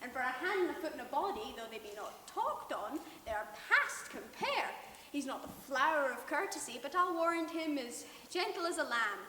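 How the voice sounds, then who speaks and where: A woman's voice: high voice, sing-song, moderate pace. A woman playing a weary, teasing old nurse servant in Shakespearean stage style, rambling and full of groaning exclamations. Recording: echoey hall, distant mic, faint noise.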